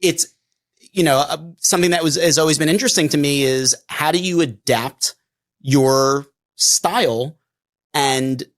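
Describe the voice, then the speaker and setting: A middle-aged man with a New York accent, chatting casually as a podcast co-host, pausing and restarting often. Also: a little high. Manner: halting, many restarts and fillers. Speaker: defense attorney co-host.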